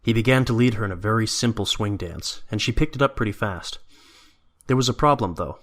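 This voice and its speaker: A man's voice: fairly low pitch, a little fast, fairly bright tone. A man reading scripted story narration as the narrator of an audio drama.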